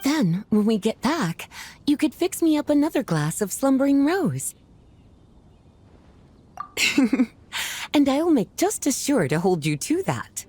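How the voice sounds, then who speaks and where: A woman's voice: high-pitched, very animated, slow pace, pauses often, darkish tone, husky, heavily acted. A woman voicing a worldly, self-assured and playful lady in a video game, articulate, teasing and affectionate. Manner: teasing, flirtatious, articulate, savoring her words. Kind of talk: scripted video game dialogue.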